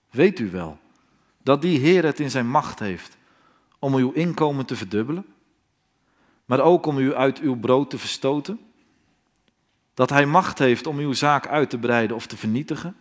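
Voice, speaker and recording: A man preaching a fiery sermon in a solemn, pleading tone, firing off rhetorical questions. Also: mid-range voice.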